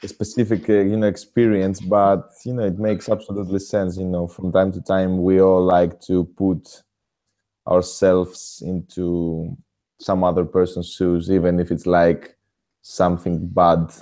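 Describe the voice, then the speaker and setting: Give me a Greek-accented man hosting a relaxed talk, dark and mellow in tone. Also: sits low, measured pace. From Greece. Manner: animated, swears casually, restarts sentences. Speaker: host, motivational speaker. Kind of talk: casual conversation.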